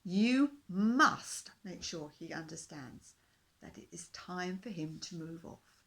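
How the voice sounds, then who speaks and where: A woman voicing a group of anxious little brown fishes in a storybook reading, hushed and insistent. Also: fairly low pitch, expressive, slow pace, creaky, exaggerated voice.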